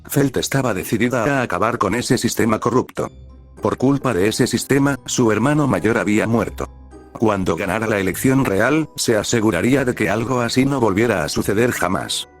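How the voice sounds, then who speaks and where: A young man reading fanfiction aloud in a steady, even narrating style. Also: lowish voice, moderate pace, faint music behind. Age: young adult. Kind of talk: audiobook-style story narration.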